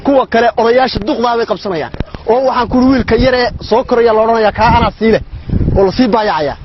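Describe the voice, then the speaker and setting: High, rapid-fire ranting man. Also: highs cut off, a little hiss or hum. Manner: ranting, listing grievances. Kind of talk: comic rant.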